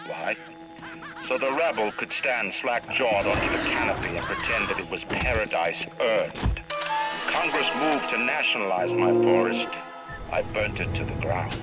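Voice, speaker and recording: Imperious, low-voiced industrialist tyrant. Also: male voice, expressive, loud music behind the voice. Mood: defiant pride. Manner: formal, pompous, deliberate oratory. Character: imperious male industrialist ruler of an undersea city, cold and proud. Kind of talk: theatrical monologue in a video game.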